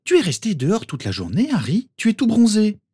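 A man reading aloud and voicing a fussing, motherly middle-aged witch, with a husky voice. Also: high-pitched, wildly swooping pitch, brisk, mellow, no echo, studio quality, pitched above the performer's own voice, full character voice.

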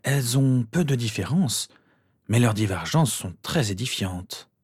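A man voicing a venerable old wizard headmaster in an audiobook, calm, courteous and pensive in a measured way. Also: medium pitch, breathy edge, no echo, studio quality, pitched above the performer's own voice. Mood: intrigued.

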